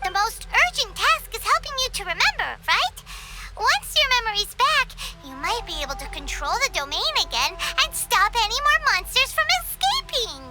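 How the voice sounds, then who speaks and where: A woman voicing a tiny, excitable, naive fairy companion in a video game, squeaky and childlike, with a chirpy manner. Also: normal speed. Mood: eager. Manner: chirpy, speaks of self in third person. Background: music.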